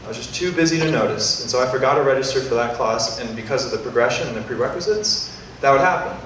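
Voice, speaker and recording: A man's voice: mid-range voice, fast talker, fairly bright tone, a little hiss or hum. A young man, a student presenter, answering enthusiastically and rambling with restarts at a startup event. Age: young adult. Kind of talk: pitch Q&A answer. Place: university event hall. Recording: echoey hall, distant mic.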